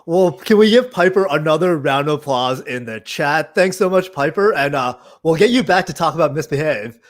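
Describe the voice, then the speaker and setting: A man's voice: a little high, lively intonation, moderate pace. A man hosting a programming meetup livestream over a video call, informal and friendly with lots of "uh" and "like". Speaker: host of a Python meetup livestream. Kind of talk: casual livestream interview hosting.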